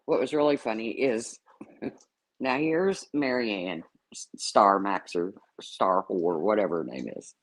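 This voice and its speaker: A woman's voice: rumbling low, slow pace, no room sound. Blunt, sarcastic woman in her fifties. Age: 50s. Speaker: YouTube livestream host. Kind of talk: casual livestream rant, answering chat.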